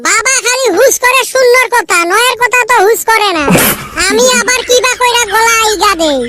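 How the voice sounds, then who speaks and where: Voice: very high voice, normal speed, very noisy. A performer voicing a whiny, complaining young boy in a comic cartoon, insisting on his grievances. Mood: insistent. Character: whiny boy, hapless friend who gets beaten by his father.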